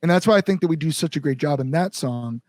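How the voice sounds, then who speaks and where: A man's voice: fairly high pitch, lively intonation, fast talker, a little mellow, dry recording, clean studio sound. A man speaking casually as an interview guest, earnest and rambling, repeating words for emphasis. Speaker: band frontman and songwriter, podcast guest. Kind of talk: casual podcast interview chat.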